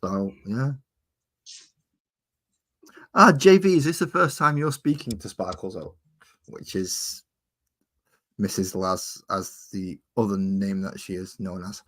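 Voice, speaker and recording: A man's voice: low voice, takes it slowly, long pauses, fairly bright tone. A man hosting a livestream, chatting in a jokey, rambling way with his co-host and viewers. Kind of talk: casual chat while painting.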